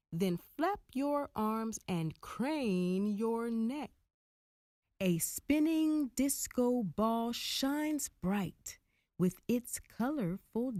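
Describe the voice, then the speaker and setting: A woman narrating a rhyming picture book for children in a deliberate, expressive storytelling manner. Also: fairly high pitch, no room sound, studio quality. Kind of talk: rhyming children's read-aloud.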